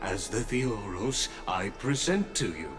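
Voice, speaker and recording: A dignified master of the assembly in a fantasy game. He proclaims formally and very slowly with broad, animated swings of pitch, music playing behind him. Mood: ceremonious. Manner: ceremonious, formal. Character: dignified male presiding official of a citizens' assembly. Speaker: assembly announcer.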